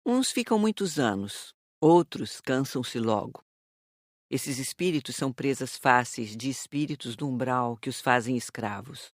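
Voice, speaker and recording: A woman voicing a wise, kindly older woman who calmly teaches. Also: very deep voice, unhurried, dry recording, studio quality, voice pushed down, full character voice. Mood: instructive. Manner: calm, instructive.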